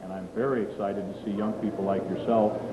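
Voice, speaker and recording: A middle-aged man, a TV news anchor, calmly explaining his work day in an interview, with occasional ums. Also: lowish voice, brisk, over a noisy crowd. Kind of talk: relaxed interview answers. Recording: muffled old camcorder tape with hiss.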